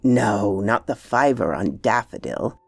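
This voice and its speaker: A woman's voice: extremely low pitch, expressive, unhurried, creaky, no room sound, faint music behind, voice pushed down, full character voice. Woman voicing a hearty, teasing uncle. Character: jovial, indulgent, teasing English uncle, grown man.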